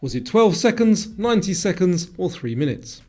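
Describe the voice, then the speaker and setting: Casting: male quiz host, fairly high but soft-edged voice, formal scripted reading with faint music underneath. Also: sing-song, normal speed.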